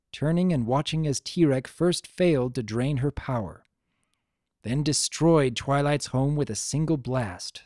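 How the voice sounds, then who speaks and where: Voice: medium pitch, warm tone, dry recording, clean studio sound. A narrator giving a scripted dramatic reading of a story. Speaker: audiobook-style narrator.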